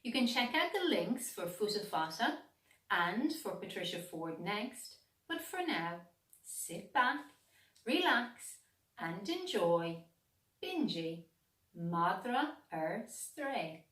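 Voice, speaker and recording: An Irish woman reads a children's story slowly, with an animated lift and fall in her voice. She sounds like a teacher telling a tale, deliberate and expressive. The room echoes around her. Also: medium pitch. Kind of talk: children's storybook read-aloud. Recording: echoey room, distant microphone.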